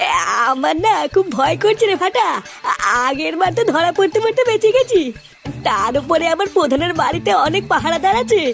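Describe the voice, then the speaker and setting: A woman's voice: very high-pitched, lively intonation, a little fast, faint music behind. A woman playing a timid, hesitant young male sidekick thief in a comic cartoon. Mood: nervous, reluctant. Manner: hesitant, worried. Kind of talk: crude comic cartoon dialogue.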